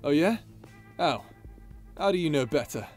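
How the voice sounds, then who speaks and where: Man acting skeptical young male companion, fairly high voice, blunt, sarcastic, audio drama, faint music. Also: expressive, normal speed, lightly acted. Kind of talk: scripted audio roleplay drama.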